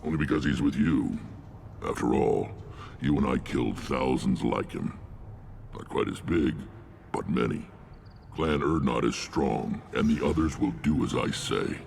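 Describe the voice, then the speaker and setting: A man voicing a gruff, battle-worn alien warlord in a video game, commanding and wry, with a blunt, measured delivery. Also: a little mellow, slight background noise, exaggerated voice. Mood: proud, commanding. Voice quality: very deep, gravelly. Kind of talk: video game character acting.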